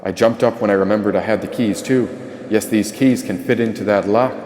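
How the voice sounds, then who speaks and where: A man's voice: lowish voice, brisk, darkish tone, a little hiss or hum. A man reading an audiobook as a dramatic first-person story narration. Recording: echoey, long reverb tail.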